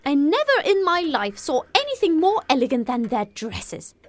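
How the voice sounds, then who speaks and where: An excitable middle-aged mother as a woman narrator acts her out in a storybook reading. She gushes and exclaims in a high, loud, swooping voice, full of gossip and breathless emphasis. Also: moderate pace, no echo. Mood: gushing, thrilled. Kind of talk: audiobook character voice.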